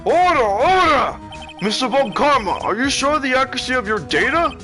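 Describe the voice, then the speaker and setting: Man putting on a high, excitable courtroom judge. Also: brisk, faint music behind, full character voice. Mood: commanding. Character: flustered, excitable male judge presiding over a courtroom. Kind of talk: video game voice-over reading.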